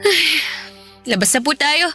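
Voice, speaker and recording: A wistful older sister in a radio drama. Her voice is high and tender with longing for family, and music plays clearly underneath. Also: expressive, unhurried, bright, forceful tone, exaggerated voice. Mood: wistful, sad. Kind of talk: Tagalog radio drama acting. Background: background music.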